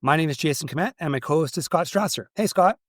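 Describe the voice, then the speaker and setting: A man's voice: fairly high pitch, lively intonation, quick pace. A man hosting a casual sports podcast, nasal from a slight cold, talking in a hesitant way with many restarts. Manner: hesitant, restarts often with "uh" and "you know". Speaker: podcast host.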